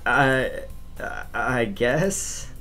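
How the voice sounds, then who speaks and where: A man's voice: mid-range voice, lively intonation, drawn-out words, bright, forceful tone, faint music behind, exaggerated voice. A man voicing an exasperated, easily flustered teenage boy who scolds and stammers in a visual novel. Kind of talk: visual novel read-aloud.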